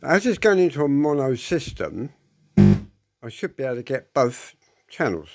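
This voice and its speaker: A man's voice: mid-range voice, huge pitch swings, a little slow, gravelly, over music. A man giving a casual spoken demonstration, explaining step by step, repeating his points and checking with short tag questions. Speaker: presenter demonstrating an audio equipment fault.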